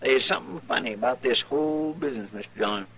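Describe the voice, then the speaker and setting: An actor playing a folksy, loyal, chatty frontier deputy with a rural American drawl in an old-time radio western. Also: male voice, medium pitch, fast talker, a bit bright, husky. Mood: earnest, talkative. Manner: folksy, plain-spoken, drawling. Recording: old radio recording, noisy, crowd behind.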